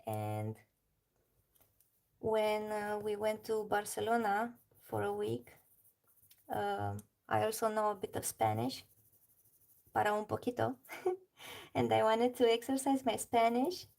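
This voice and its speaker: Slow, creaky Romanian-accented woman. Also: mid-range voice, darkish tone. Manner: chatty, reads chat aloud, laughs often. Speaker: livestream colouring artist. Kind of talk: casual livestream chat while colouring.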